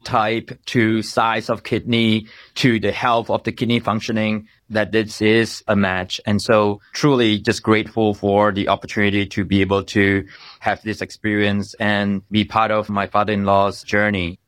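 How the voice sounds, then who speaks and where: A man's voice: on the low side, flat delivery, normal speed, a bit bright, clear, resonant tone, no echo, studio quality. A man from Malaysia telling his personal story in an earnest, reflective, conversational way. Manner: earnest, thoughtful. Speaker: interview guest, kidney donor.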